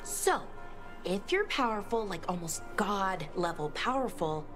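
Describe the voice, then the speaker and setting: A woman voicing an earnest, curious elven scholar in a fantasy video game, haltingly thinking aloud. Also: medium pitch, very animated, music in the background. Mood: thoughtful.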